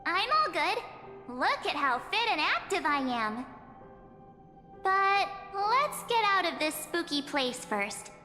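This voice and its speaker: A perky, breezy teenage game heroine. Her voice is high and bright, with a chatty, playful, coaxing way of talking. It echoes as if in a big hall. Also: sing-song, takes it slowly, full character voice. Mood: breezy, eager. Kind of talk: video game voice acting. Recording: echoing hall reverb with music.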